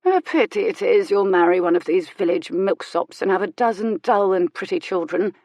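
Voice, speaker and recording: A woman voicing a sharp-tongued, dying elderly noblewoman with a wry, imperious manner. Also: mid-range voice, animated, moderate pace, no echo, lightly acted. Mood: confiding. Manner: tart, teasing, grandly authoritative.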